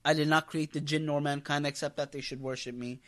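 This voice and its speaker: High-pitched man preaching emphatically. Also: level tone, fairly quick, fairly bright tone. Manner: emphatic, repeats key phrases, puts rhetorical questions to listeners. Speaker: imam teaching a religious class. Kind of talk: impassioned religious lecture to a congregation.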